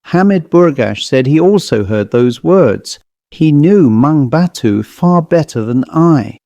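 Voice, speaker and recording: Slow-paced man narrating a horror story. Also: a little high, animated, gravelly, dark tone, dry recording. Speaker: audiobook narrator.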